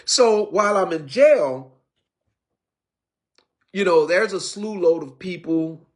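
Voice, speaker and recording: A man's voice: high-pitched, expressive, takes it slowly. A man in his fifties giving a streetwise personal testimony, preachy in tone and full of restarts and repeated words. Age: 50s. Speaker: recovery speaker.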